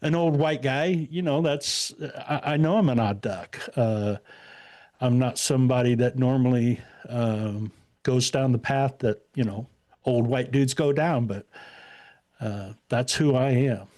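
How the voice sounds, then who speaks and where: A man's voice: mid-range voice, measured pace, a little mellow. Retired guy in his 60s rambling along, lots of uhs, plain middle voice. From Indiana. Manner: easygoing, rambling with many fillers. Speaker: podcast guest. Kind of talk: casual interview chat.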